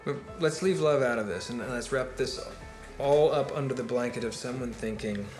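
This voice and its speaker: A man's voice: mid-range voice, normal speed, lightly acted. An actor playing a rambling, fatalistic party guest who holds forth philosophically in a naturalistic film monologue, building his argument step by step in a conversational lecture. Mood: musing. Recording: roomy film dialogue with music behind. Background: music.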